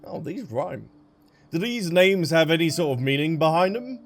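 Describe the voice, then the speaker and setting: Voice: medium pitch, expressive, moderate pace, a little hiss or hum. A game streamer giving casual, reactive commentary, thinking aloud while playing.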